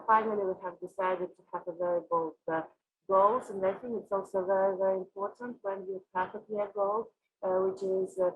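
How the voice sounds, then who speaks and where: A woman from Russia with a Russian accent, answering interview questions as a company executive, haltingly and with frequent filled pauses. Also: mid-range voice, vocal fry, breathy edge, phone-quality sound. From Moscow, Russia. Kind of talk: interview answers on an online panel.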